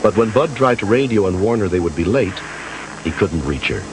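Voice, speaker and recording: Very deep-voiced male documentary narrator. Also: lively intonation, brisk. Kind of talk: scripted narration of a rescue story. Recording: noisy old TV broadcast audio.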